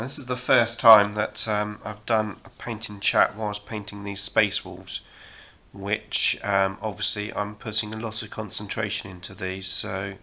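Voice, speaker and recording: Man chatting while painting, bright. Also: on the low side, vocal fry. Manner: relaxed, rambling, many ums. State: sore throat, slightly hoarse. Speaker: hobby painting channel host. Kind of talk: casual chat while painting.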